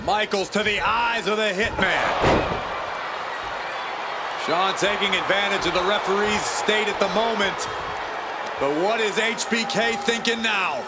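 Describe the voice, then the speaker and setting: Emphatic high-voiced man commentating on wrestling. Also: unhurried, long pauses. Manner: emphatic, reactive. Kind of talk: excited sports commentary. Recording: loud arena crowd and noise. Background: roaring arena crowd.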